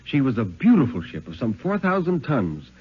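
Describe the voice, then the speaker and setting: Husky, animated man reading a thriller. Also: medium pitch. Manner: theatrical, suspenseful, deliberate phrasing. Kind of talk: dramatic first-person reading of a suspense story, 1950s radio. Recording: dull vintage 1950s radio recording.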